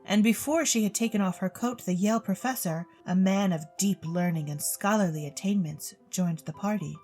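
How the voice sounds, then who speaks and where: A woman reading a story as a theatrical narrator, with expressive, precise literary delivery. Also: medium pitch, moderate pace. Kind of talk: theatrical scripted storybook narration for an audio drama. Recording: music bed under the voice. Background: music.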